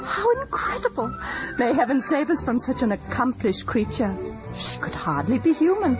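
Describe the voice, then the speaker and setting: A sharp-witted mocking young gentlewoman, lilting voice, radio play with music under. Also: high-pitched, big pitch swings, moderate pace. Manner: arch, teasing, forthright. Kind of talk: period radio drama acting. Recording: dull radio recording with music behind.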